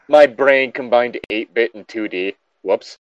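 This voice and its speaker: A man's voice: medium pitch, very slow, dry recording, highs cut off. A man giving casual, sweary and sardonic commentary as he reads and reacts to a story. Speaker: host reading and reacting to a horror story.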